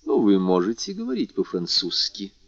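Aloof, low-voiced English lord, read by a man. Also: wildly swooping pitch, moderate pace, dry recording, dull audio, exaggerated voice. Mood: cool, aloof. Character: phlegmatic, punctilious, eccentric English lord.

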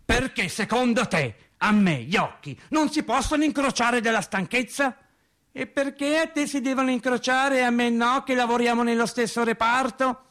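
A man's voice: very high-pitched, normal speed, fairly bright tone, pitched above the performer's own voice. A man voicing a bossy, bookish working-class wife who scolds with insistent, challenging questions in a radio theatre monologue.

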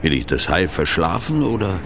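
Deep-voiced sanctimonious churchman. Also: sing-song, normal speed, gravelly, noisy background, full character voice. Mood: solemn preaching. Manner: unctuous, preacherly, formal. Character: sanctimonious male church official, pious and evasive. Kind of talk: German radio drama acting.

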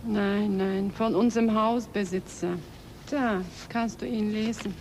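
A woman's voice: medium pitch, steady tone, a little slow, noisy background, lightly acted. An actress in a radio play playing a worried, reserved woman who is a native Turkish speaker and talks hesitantly. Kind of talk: German radio play acting.

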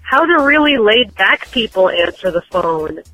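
A woman calling in to tell a few corny jokes in a deadpan way. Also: mid-range voice, moderate pace, no room sound, faint music behind, rough recording. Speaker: caller telling jokes. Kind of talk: voicemail joke telling.

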